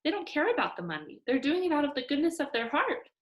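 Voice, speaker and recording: Woman playing an earnest, insistent young girl, higher-pitched. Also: big pitch swings, fast, echoey room. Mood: defiant. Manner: insistent, emphatic. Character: earnest, hopeful young girl, stubbornly defending her dream.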